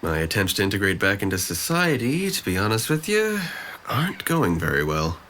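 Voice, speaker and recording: A man's voice: on the low side, huge pitch swings, fairly quick, fairly bright tone, a little hiss or hum. A man acting a depressed, guarded young man in an audio drama, speaking with dry, self-deprecating hesitance. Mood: downcast, confessing.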